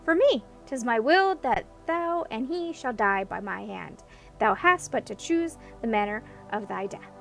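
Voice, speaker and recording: A woman voicing a huge stern male djinn in a storybook, booming, with grand archaic, pompous speech. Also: animated, measured pace, gravelly, a bit bright, soft background music, heavily acted. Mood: imperious.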